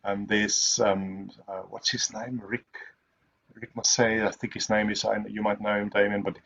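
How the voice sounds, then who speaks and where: A man whose first language is Afrikaans answers questions in a webinar, rambling and restarting his sentences. His voice sits fairly low. The audio is somewhat muffled and lo-fi. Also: little pitch movement, normal speed, a bit bright. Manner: rambling, many restarts and fillers. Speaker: guest speaker.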